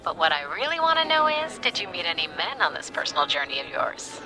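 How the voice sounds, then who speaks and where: A woman's voice: on the low side, expressive, quick pace, music in the background, rough recording. An actress playing a chatty, teasing and caring woman friend in a radio drama. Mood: teasing concern.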